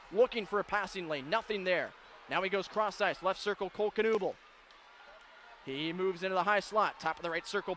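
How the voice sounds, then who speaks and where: Man, radio hockey play-by-play, high-pitched voice, rapid clipped calls, shouted goal calls. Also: slight background noise. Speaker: play-by-play announcer.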